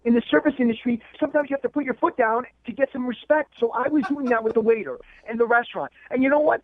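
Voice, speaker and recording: A man's voice: very high-pitched, fast talker. A man on a radio phone-in arguing defensively and repetitively in an aggrieved, conversational way over a phone line. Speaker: radio phone-in guest, spurned date. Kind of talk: heated conversational phone argument. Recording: tinny narrow phone line.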